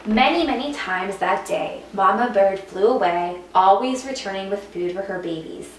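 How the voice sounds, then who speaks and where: A woman reading a children's picture book aloud as a teacher would, with expressive, dramatic emphasis. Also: medium pitch, moderate pace. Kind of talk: expressive scripted storybook narration. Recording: echoey room, distant mic, faint noise.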